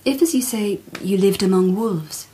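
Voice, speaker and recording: An actress playing a composed, courtly Japanese empress in a radio drama, with a formal, precise manner. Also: female voice, mid-range voice, moderate pace, mellow, a little hiss or hum, natural voice, rough recording. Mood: firm.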